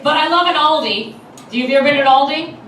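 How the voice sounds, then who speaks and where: A woman's voice: high voice, quick pace, fairly bright tone. A woman doing chatty observational stand-up to an audience. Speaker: stand-up comedian. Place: live comedy room with loud audience. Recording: distant, echoey, very noisy crowd room.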